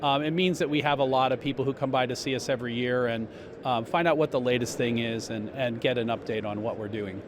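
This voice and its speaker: Man explaining a product amid loud show-floor noise. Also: medium pitch, quick pace. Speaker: company spokesman being interviewed. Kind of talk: trade show product interview. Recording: noisy trade show floor.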